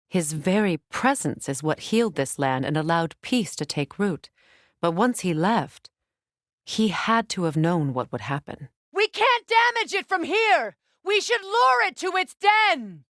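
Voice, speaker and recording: An actress voicing a proud, guarded warrior goddess and witch in a video game, with measured, formal and earnest phrasing. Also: fairly low pitch, no echo, clean studio sound. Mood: urgent battle cries. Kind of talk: video game character dialogue.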